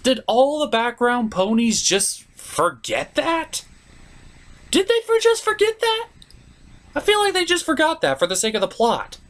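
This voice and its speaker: A man's voice: very high-pitched, big pitch swings, unhurried, a bit bright. A man delivering a ranting, profane review as an online host, exasperated and emphatic.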